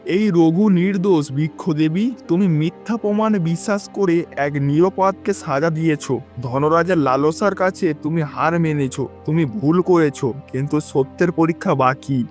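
A man's voice: a little high, normal speed, hardly any pauses, a little mellow, soft background music, lightly acted. A man playing a righteous old holy man. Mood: earnest.

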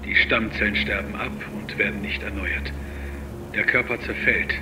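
A man's voice: lowish voice, steady tone, background noise, natural voice. An actor playing a grave male virologist who dictates a formal, clinical audio log in a German audio drama. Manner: formal, clinical, measured delivery.

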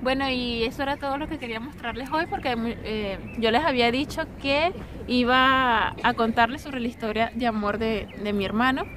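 A young woman with a Venezuelan accent hosting a casual outdoor interview, talking with lively hand gestures. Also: a little high, moderate pace, hardly any pauses. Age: young adult. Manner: animated, gestures with both hands while talking. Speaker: vlogger host. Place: outdoors on stone steps of an ancient theatre. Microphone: camera mic from a few meters away. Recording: distant outdoor camera audio with street noise.

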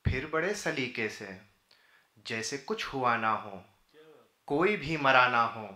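A man's voice: mid-range voice, expressive, takes it slowly, pushed and bright. A man recites poetry in a declamatory, rhythmic way at a podium microphone. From India. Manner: declamatory, rhythmic repeated phrasing. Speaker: poet. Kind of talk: live Urdu-Hindi poetry recitation. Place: indoor auditorium stage at a podium. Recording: roomy auditorium sound through PA microphone.

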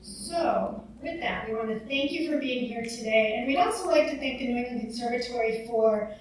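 A woman's voice: on the high side, moderate pace, recorded from a distance. Woman host speaking in an echoey hall. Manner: thanking, formal. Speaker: library host. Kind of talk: public announcement speech. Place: large library concert hall. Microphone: podium mic. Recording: echoey hall, heard from afar.

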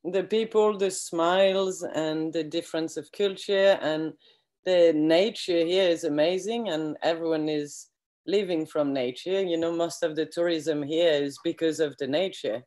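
French woman on a video call. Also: deep voice. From France. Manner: relaxed, friendly, halting with fillers. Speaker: guest, eco project manager. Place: home kitchen indoors. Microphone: laptop webcam on video call.

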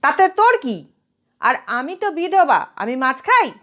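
A woman's voice: high-pitched, very animated, unhurried, a bit bright, voice pushed up, exaggerated voice. A woman voicing a stern, fearless but kindly widowed elder aunt with a scolding, commanding manner in a story reading. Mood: sharp scolding. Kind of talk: storybook character voice.

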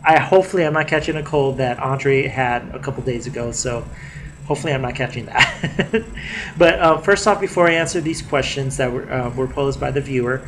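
A man's voice: mid-range voice, a little fast, background noise. Chatty man, slightly nasal, webcam vlog. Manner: chatty, many fillers and restarts. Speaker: vlogger. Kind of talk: casual video diary. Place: living room indoors. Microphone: webcam at desk distance.

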